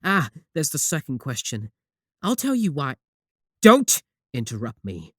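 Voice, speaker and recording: A man's voice: medium pitch, huge pitch swings, a little slow, fairly bright tone, breathy edge, no room sound, clean studio sound, heavily acted. A young man acting a possessive, obsessive student admirer in a scripted ASMR roleplay, sweet yet menacing. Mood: gloating, menacing.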